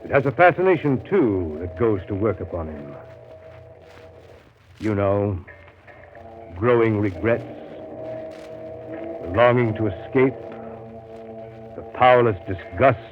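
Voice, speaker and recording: An actor playing a brooding seafarer who recounts a jungle voyage in a measured, literary, dramatic 1930s radio style. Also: male voice, fairly low pitch, expressive, faint music behind. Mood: brooding, intense. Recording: scratchy, narrow-band 1930s radio broadcast.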